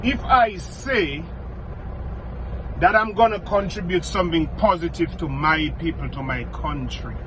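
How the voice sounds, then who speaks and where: A man's voice: a little high, unhurried, fairly bright tone, slight background noise, low-quality recording. A middle-aged man from Dominica with a Dominican accent, speaking bluntly and repeating his points for emphasis. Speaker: vlogger. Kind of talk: outspoken direct-to-camera commentary.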